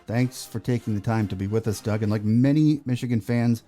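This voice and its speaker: A man's voice: lowish voice, brisk, dark tone, faint music behind. An American man hosting a sports podcast, giving game preview notes and addressing fans. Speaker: podcast host.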